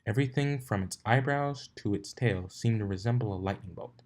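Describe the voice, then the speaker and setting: A man's voice: fairly low pitch, a little fast, darkish tone. A man narrating fan fiction aloud, now and then stumbling and rereading phrases. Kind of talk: story narration read aloud.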